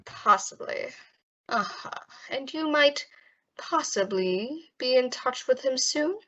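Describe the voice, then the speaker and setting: A woman's voice: high voice, expressive, slow pace, pushed and bright, heavily acted. A woman playing a smug, menacing male nightclub gangster boss in old-time radio style, mock-polite and taunting. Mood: quietly menacing. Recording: dull lo-fi audio.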